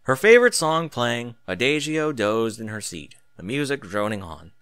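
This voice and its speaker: A man reads dramatic fiction as narrator. His mid-pitched voice is lively and varied in tune, with theatrical emphasis. The recording is dry, with hardly any room sound. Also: normal speed, smooth, no room sound. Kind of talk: scripted dramatic story narration.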